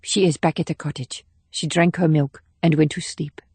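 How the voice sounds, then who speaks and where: A young woman agent, tender and guarded, speaks in a hushed, gentle voice, put on by a male narrator. The voice sits well above his own range and stays quiet. The recording is dry and close. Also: fairly high pitch, steady tone, normal speed, husky, dry recording, voice pushed up.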